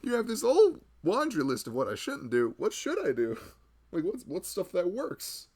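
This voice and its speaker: A man's voice: high voice, huge pitch swings, normal speed, darkish tone, full character voice. A man playing a loving husband who rambles tenderly and a little anxiously in an intimate, conversational ASMR roleplay. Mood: playfully exasperated. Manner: rambling, hesitant, conversational, frequent "you know". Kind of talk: intimate ASMR boyfriend roleplay, improvised-sounding chat.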